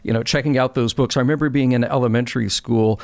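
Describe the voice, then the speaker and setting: Male podcast co-host, mid-range voice, reflective explainer in relaxed conversation, no room sound. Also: mid-range voice, fast talker, no echo. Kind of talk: casual conversational discussion.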